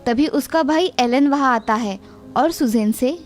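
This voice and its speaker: A woman narrating an animated children's story in a steady, clear storytelling manner. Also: sits high, a little slow, faint music behind.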